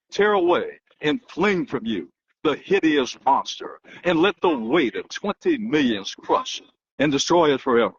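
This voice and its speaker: Husky man delivering animated, dramatic oratory. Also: fairly high pitch. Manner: emphatic, declamatory, with dramatic pauses and repetition. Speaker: political analyst and host. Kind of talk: dramatic speech reading and oratory. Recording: dull, lo-fi muffled sound.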